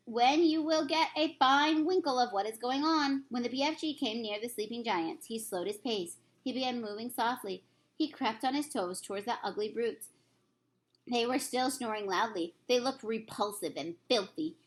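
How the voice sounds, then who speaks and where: A woman's voice: medium pitch, low-quality recording. A woman reading a children's storybook aloud as a teacher would, with occasional stumbles.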